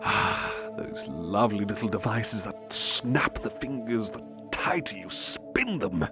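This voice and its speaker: A man playing a refined, sadistic serial-killer nobleman in a horror audio drama, gloating theatrically and savouring his words with breathy drops. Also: fairly low pitch, wildly swooping pitch, takes it slowly, heavily acted. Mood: gloating relish. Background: music under the voice.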